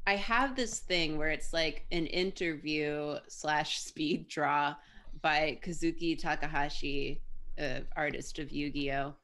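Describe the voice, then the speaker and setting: A woman's voice: sits low, slow, fairly bright tone. A woman co-hosting a live stream, telling funny anecdotes in casual chat and laughing often. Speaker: stream co-host.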